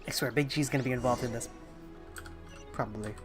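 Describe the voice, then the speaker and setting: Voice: medium pitch, fairly quick, music in the background. A streamer chatting casually during a game livestream in a rambling, informal way.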